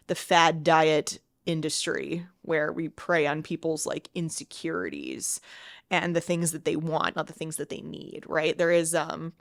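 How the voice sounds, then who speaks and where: Chatty woman podcaster with a cold. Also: deep voice. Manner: chatty, many "like"s and restarts. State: sniffly from a cold. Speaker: podcast host and fitness coach. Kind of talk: solo podcast talk.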